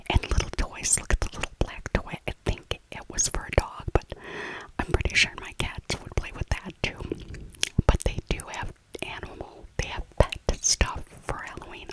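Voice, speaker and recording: A middle-aged woman whispering an ASMR shopping voiceover in a breathy, unvoiced way, rambling gently and repeating her phrases. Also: low voice, poor audio. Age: 50s or 60s. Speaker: ASMR video host.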